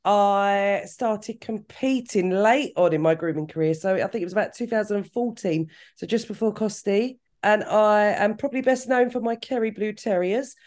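A woman in her forties with a cold, sounding bunged up, chatting casually as a guest and adding quick asides. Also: mid-range voice, darkish tone. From southern England. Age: 40s. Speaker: guest, salon owner. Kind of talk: casual interview chat.

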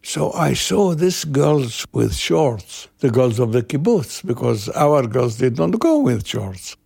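A man in his eighties from Iraq with an Iraqi Arabic accent, a native Arabic speaker, telling personal memories in halting, repetitive phrases. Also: medium pitch, very animated, creaky, a little mellow, breathy edge. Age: 80s. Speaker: interviewee recalling his youth. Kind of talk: personal oral storytelling.

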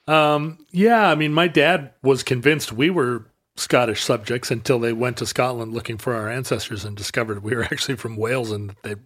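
A man's voice: mid-range voice, brisk, few pauses, a bit bright. Dry-humored man co-hosting a chat. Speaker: podcast co-host. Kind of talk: casual chat with mock-formal outro.

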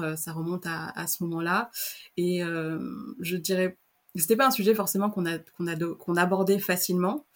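Hesitant young woman, interview guest. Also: lowish voice. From Madagascar. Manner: hesitant, many fillers and restarts. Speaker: podcast guest. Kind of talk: casual interview chat.

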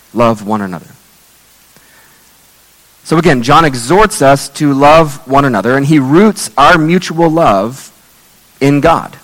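Hoarse male preacher in a reverberant hall. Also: medium pitch, fairly bright tone. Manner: earnest, measured preaching with scripture readings. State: losing his voice. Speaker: pastor. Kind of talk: sermon.

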